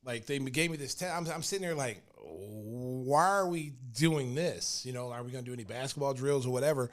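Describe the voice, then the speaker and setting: Animated laughing storyteller man. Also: mid-range voice, brisk. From Oklahoma. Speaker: podcast host, former pro basketball player. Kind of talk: casual sports talk and storytelling.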